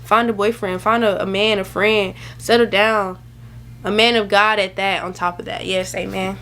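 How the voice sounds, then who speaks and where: A woman's voice: medium pitch, steady tone, moderate pace, a bit bright, a little hiss or hum. A woman in her early twenties talking straight to camera in a chatty, rambling, emphatic way, giving casual advice with plenty of slang. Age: early 20s. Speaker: vlogger. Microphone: camera mic.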